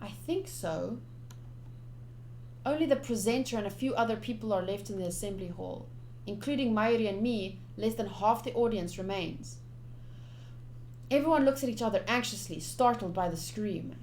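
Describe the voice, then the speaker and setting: A woman's voice: mid-range voice, normal speed, slight background noise, poor audio. A young woman doing playthrough commentary, reading game text aloud expressively with chatty asides. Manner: expressive, chatty asides between read narration. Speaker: game streamer.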